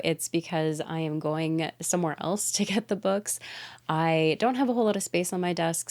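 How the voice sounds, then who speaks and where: A young woman from Canada talks through a book haul in an easy, chatty way, often breaking into small laughs. Her voice sits a little low for a woman and carries a slight nasal stuffiness from a cold. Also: darkish tone. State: mildly congested from a cold. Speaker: booktube host. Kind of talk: casual book haul chat.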